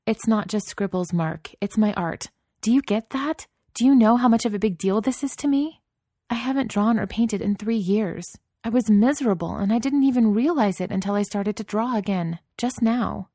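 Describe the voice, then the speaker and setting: A woman's voice: mid-range voice, normal speed, a little mellow, no room sound, clean studio sound, voice pushed up, close to an everyday voice. A woman voicing a frustrated young woman artist who speaks in an impassioned, raised voice.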